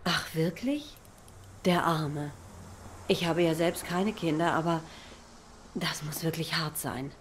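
An actress playing an obliging, down-to-earth young cat waitress in a noir video game, plain-spoken and a little hesitant. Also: female voice, low-pitched, takes it slowly, long pauses, a little hiss or hum. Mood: thoughtful, sympathetic.

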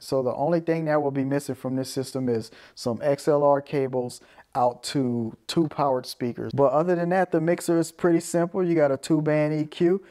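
A man's voice: mid-range voice, darkish tone. A man giving a casual hands-on gear walkthrough as a presenter, explaining step by step and often checking in with "right?". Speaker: presenter of an audio gear video. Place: small carpeted room indoors.

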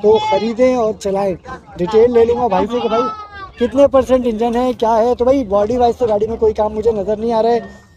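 A man's voice: very high voice, steady tone, brisk, crowd noise. A young man from Pakistan, walking through an outdoor car market and chatting into a handheld mic as he shows off a car. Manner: chatty, repeats "bhai" and "okay". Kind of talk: walkthrough commentary. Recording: dull lo-fi sound.

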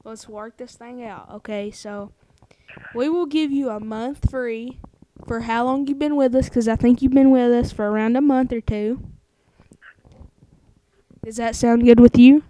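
A woman's voice: fairly high pitch, steady tone, a little slow, warm tone, no echo, poor audio. A woman talking casually in a hesitant, placating way, as a caller posing as customer service. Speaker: prank caller.

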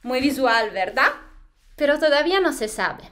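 A high, animated woman's voice with an Italian accent, explaining science to students with plenty of expression. She is a researcher in her thirties, speaking in a small plain room to a camera a few feet off. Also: normal speed. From Italy. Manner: expressive, lively, gestures while explaining. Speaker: postdoctoral astrophysics researcher. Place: small plain indoor room against a wall. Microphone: camera a few feet away, head to waist framing.

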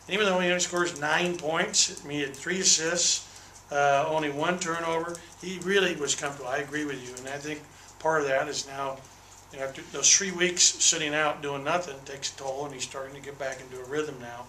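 An older man coaching a college team speaks into a table mic with a bright, forceful tone. His answers are candid and detailed, and he doubles back on words as he restarts. The small press room leaves a roomy echo around him. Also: a little high, steady tone, a little fast, a little hiss or hum. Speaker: basketball head coach. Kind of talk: postgame press conference answers. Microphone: table microphone on a desk.

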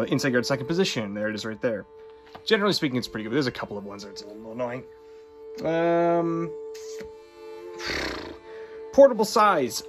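A man giving a casual spoken book review, relaxed and chatty with lots of ums and little laughs. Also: mid-range voice. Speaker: book reviewer and swordsmanship instructor.

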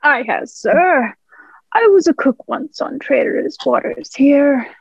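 A woman's voice: high voice, lively intonation, slow pace, vocal fry, dry recording, full character voice. A woman playing a wily, menacing male pirate ringleader in a radio play, with mock-courteous, colloquial pirate speech. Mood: obliging, sly. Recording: dull, muffled home recording.

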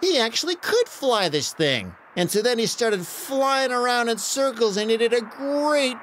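A woman narrating a story for children in an expressive, animated storytelling style. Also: on the high side, a little slow, a little hiss or hum, rough recording. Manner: expressive, acts out excited exclamations.

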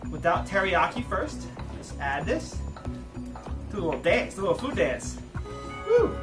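A man in his thirties hosting an energetic, chatty cooking demo outdoors in his backyard garden. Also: high voice, distant mic. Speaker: cooking show host. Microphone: far camera, outdoors.

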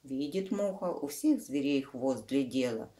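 A woman with a low voice reads to young children. She goes slowly, using fond diminutives and simple explanations as a storyteller would. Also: expressive, mellow. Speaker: children's book reader, channel host.

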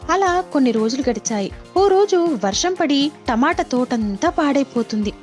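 A woman's voice: high-pitched, expressive, moderate pace. A woman storyteller reading scripted moral animal tales for children. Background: faint music.

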